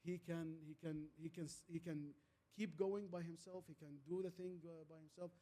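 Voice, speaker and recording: A man with an Arabic accent speaks as the guest in a fireside chat. His voice is soft-edged and mellow, sitting a little high for a man. He tells anecdotes haltingly, repeating words and landing on short, emphatic phrases. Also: little pitch movement. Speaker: guest speaker, transformation leader.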